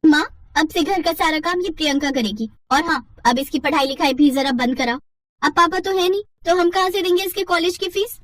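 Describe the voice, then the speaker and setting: Woman doing a spiteful, high-pitched teenage stepsister. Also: a little fast, fairly bright tone, no echo. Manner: whiny, spiteful. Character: spiteful, whiny teenage younger stepsister, jealous and petty. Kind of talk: Hindi moral-story cartoon acting.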